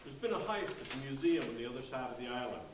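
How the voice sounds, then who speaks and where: A man's voice: medium pitch, lively intonation, quick pace, close to an everyday voice. A man playing a probing small-town sheriff in an amateur staged play reading. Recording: very echoey hall, distant, noisy.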